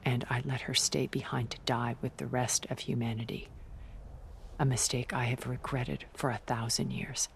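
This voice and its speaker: Imperious ancient woman, gravelly, deliberate, coldly possessive, game cutscene drama, ambient noise behind. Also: very deep voice, flat delivery. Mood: remorseful. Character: commanding, possessive thousand-year-old woman, coldly obsessed with lost love.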